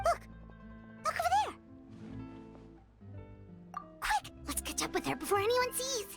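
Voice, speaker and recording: Tiny excitable fairy sidekick, extremely high and squeaky, swooping lines, game dialogue over music. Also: female voice, measured pace, creaky, exaggerated voice. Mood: excited, alarmed. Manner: chirpy, exaggerated, talks in third person. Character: tiny childlike floating fairy companion, excitable and timid. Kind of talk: video game voice acting.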